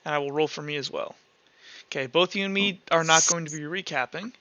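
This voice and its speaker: A man with a high-pitched voice casually runs a tabletop game as its game master. His tone is bright and sharp. The audio is very dry and close to lifeless in room sound. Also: brisk, no room sound.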